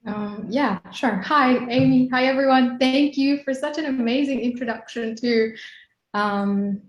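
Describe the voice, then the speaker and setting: A woman's voice: sits high, a little slow, echoey room, muffled recording. A woman from Kenya in her late thirties, a hypnotherapist chatting casually as an interview guest, laughing easily.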